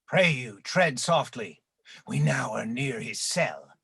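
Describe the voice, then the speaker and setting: A woman playing a resentful, scheming monster servant in a Shakespearean radio play, with a husky voice. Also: extremely low pitch, lively intonation, slow pace, background noise, exaggerated voice. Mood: hushed, urgent.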